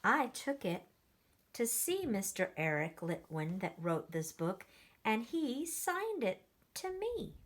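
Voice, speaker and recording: Very slow, animated woman teacher reading. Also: fairly high pitch. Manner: animated, gasps and quizzes children. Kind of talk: children's story read-aloud.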